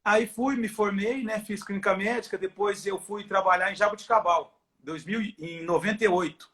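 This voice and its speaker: Middle-aged male doctor as interview guest, inland São Paulo Brazilian accent, high voice, casual and friendly. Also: fairly even intonation, normal speed, a bit bright. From Ribeirão Preto, São Paulo, Brazil. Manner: friendly, informal. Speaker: guest, football club doctor. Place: at home on a video call.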